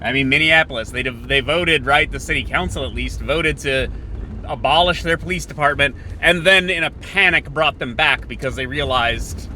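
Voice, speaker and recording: A man hosting a podcast, thinking out loud in a sardonic, opinionated political monologue that moves between halting pauses and bursts. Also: mid-range voice, a little hiss or hum. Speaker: podcast host.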